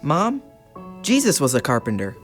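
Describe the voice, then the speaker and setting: A woman's voice: deep voice, wildly swooping pitch, moderate pace, soft background music. A woman voicing an earnest, polite young boy in a children's puppet show.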